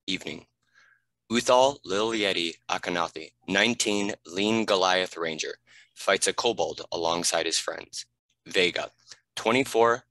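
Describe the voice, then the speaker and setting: A man's voice: sits low, bright, forceful tone, no echo, rough recording. A man reading scripted stage directions as a narrator.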